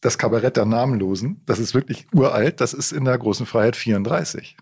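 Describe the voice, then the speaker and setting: A man from Lower Saxony, hosting a podcast in a chatty, anecdotal conversation. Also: fairly quick, a little mellow, slightly rough. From grew up in Lower Saxony, Germany. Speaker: podcast host. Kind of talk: casual conversation.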